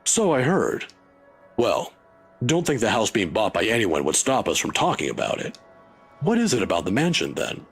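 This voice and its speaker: A man voicing an older male professor who speaks in a measured, lecturing, wry way, with a husky voice. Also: lowish voice, huge pitch swings, faint music behind, full character voice. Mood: musing.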